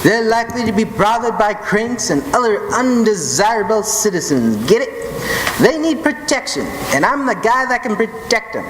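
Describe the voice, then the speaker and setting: An actor playing a cocky, slangy chauffeur and bodyguard wiseguy in an old-time radio detective drama. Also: male voice, sits high, big pitch swings, moderate pace, a bit bright. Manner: slangy, swaggering gangster-style talk. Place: live stage performance in a theatre hall. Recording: echoey stage hall with noise.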